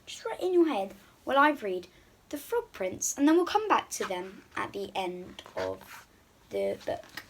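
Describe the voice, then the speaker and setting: A woman's voice: medium pitch, big pitch swings, unhurried, slight background noise. A woman hosting a read-aloud for young children, speaking to them directly and encouragingly. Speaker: children's story reader and host.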